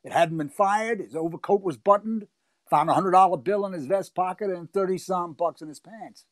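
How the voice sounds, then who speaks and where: Man playing a high-voiced, folksy police detective. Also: no room sound, close to an everyday voice. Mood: matter-of-fact. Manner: halting, plain-spoken, pleading. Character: folksy, hesitant, conciliatory male police detective. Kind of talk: 1940s radio drama acting.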